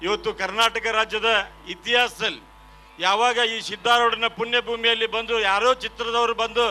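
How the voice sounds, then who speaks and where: A man with a North Karnataka accent giving formal stage oratory, declaiming ceremonially phrase by phrase, over loud hum and noise. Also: very high voice, flat delivery, moderate pace. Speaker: guest speaker at a film promotion event. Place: stage at a public event.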